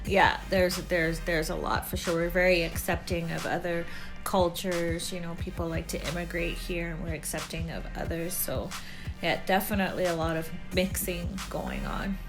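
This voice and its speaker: Canadian woman, 30s, lower-pitched, talkative co-host who walks through examples. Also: moderate pace, music in the background. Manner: chatty, explains with examples. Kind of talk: casual chat.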